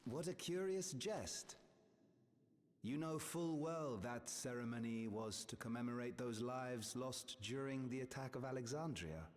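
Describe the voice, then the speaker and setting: A man's voice: medium pitch, unhurried, big-room sound, lightly acted. A man playing a courteous, unflappable royal aide in a video game, speaking formally and deferentially in archaic phrases. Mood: puzzled, politely dismissive. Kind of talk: scripted video game voice acting.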